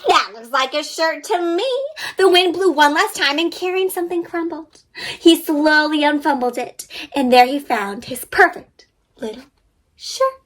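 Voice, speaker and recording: A woman reading a storybook aloud to young children with animated, wide-eyed expression, guiding them directly as she goes. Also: sits high, unhurried. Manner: addresses young listeners directly with questions and sound effects. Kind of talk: interactive storybook reading and paper-folding instruction.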